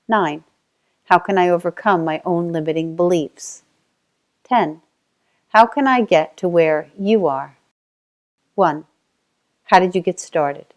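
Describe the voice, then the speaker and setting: Woman, Australian accent, middle-aged, fairly low pitch, measured steady narration, first language sign language. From Australia (Tasmania). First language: Auslan (Australian sign language). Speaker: self-help author. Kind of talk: reading her own story aloud.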